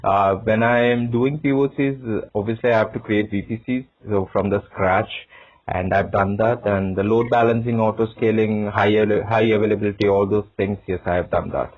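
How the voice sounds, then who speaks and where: A lowish-voiced man speaks over a thin, narrowband phone connection. He answers like a job candidate, hesitating and repeating short words as he explains himself. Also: fairly even intonation, normal speed. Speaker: job candidate in a technical interview. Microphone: phone call. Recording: narrowband tinny phone line.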